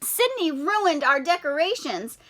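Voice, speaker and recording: A whiny little tattletale from a picture book, as a woman puts her on. Her voice is high and bright, and it swings up and down as she sniffles and complains about her party being ruined. She sounds sharp and aggrieved. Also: very animated, unhurried, full character voice. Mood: tattling. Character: whiny tattling schoolgirl classmate.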